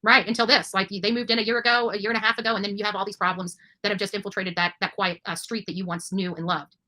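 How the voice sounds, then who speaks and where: A woman's voice: medium pitch, steady tone, fast, pushed and bright. A woman hosting an online interview in a chatty, emphatic and opinionated way. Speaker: interviewer and online show host. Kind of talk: chatty interview, reading out text messages.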